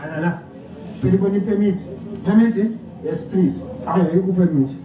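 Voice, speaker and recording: Man acting South African roadblock character, Zulu speaker, high voice, news skit, echoey, off mic. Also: fairly quick, dull audio, lightly acted. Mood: brisk. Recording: echoey, distant played news clip.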